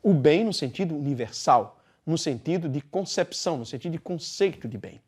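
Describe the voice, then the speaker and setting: A man with a Brazilian Portuguese accent teaching a philosophy class, speaking deliberately and posing questions he then answers himself. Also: fairly high pitch, animated, darkish tone, slightly rough. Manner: deliberate, poses rhetorical questions and answers them. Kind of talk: recorded class lecture.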